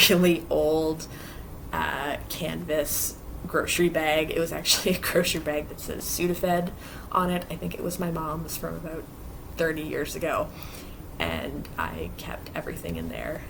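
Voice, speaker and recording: A woman's voice: low voice, gravelly, noisy background. A woman vlogging about her cross-stitch projects in a casual, rambling way with lots of fillers, sounding a little stuffy from allergies. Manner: chatty, rambling, many fillers. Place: home basement craft area.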